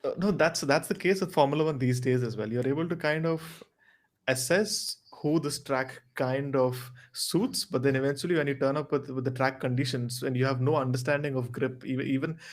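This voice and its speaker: A man offers casual analysis as a podcast panelist. His voice sits at a medium pitch, and he reasons earnestly, sometimes repeating a phrase as he works through a point. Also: steady tone, brisk.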